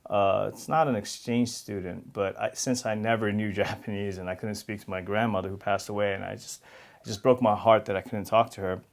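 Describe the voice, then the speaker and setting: A man talks candidly in an interview. His voice sits low and carries a gravelly edge. He laughs easily as he tells stories. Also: fairly even intonation, fairly quick. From New York City. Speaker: actor, interview guest. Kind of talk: casual interview conversation.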